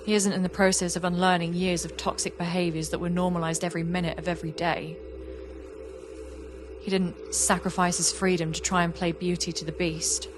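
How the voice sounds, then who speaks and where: A woman's voice: lowish voice, little pitch movement, brisk, noisy background, natural voice. A woman playing a reflective young artist in an audio play, speaking in an earnest, confessional way. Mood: reflective, candid. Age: young adult. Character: young woman artist, reflective, warm, wistful about an old friend. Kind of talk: audio play monologue and dialogue.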